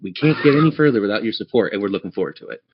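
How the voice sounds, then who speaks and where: A man's voice: lowish voice, expressive, very fast, lo-fi sound. A man running a tabletop game as game master, narrating scenes descriptively and speaking straight to his players. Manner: descriptive, addresses players directly.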